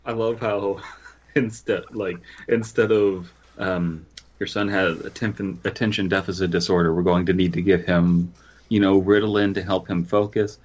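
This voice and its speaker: A man's voice: deep voice, steady tone, moderate pace, a little mellow. A man co-hosting a podcast in casual, joking chat. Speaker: podcast co-host. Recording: dull, lo-fi microphone sound.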